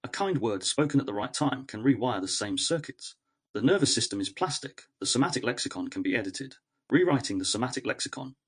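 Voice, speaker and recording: Guy reading a thoughtful scripted essay, bit husky, earnest n measured, deliberate little phrases. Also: medium pitch, expressive. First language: English. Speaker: narrator of an educational essay series. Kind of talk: scripted reflective essay narration.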